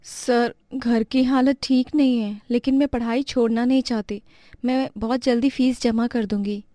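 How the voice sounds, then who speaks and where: Woman as a earnest poor girl, high voice, dry close mic. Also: fairly even intonation, normal speed, dark tone, dry recording, natural voice. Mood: pleading. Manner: earnest and pleading. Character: poor determined young girl student.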